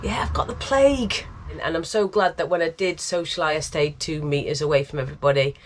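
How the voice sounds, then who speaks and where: A woman's voice: deep voice, brisk, slight background noise. A woman vlogging about her life on a narrowboat, chatty and self-mocking, laughing often and sounding bunged up with a cold. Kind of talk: casual vlog chat. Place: aboard and around a narrowboat on a canal.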